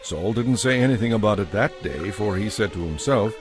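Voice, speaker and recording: Low-pitched male Bible narrator. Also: animated, brisk, music in the background. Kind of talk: scripted dramatized Bible narration.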